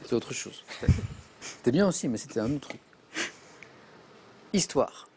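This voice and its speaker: A man's voice: medium pitch, unhurried, a little hiss or hum. A man hosting a TV quiz show, reading questions and joking with contestants in a studio. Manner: lively, jokey, reads questions then banters with contestants.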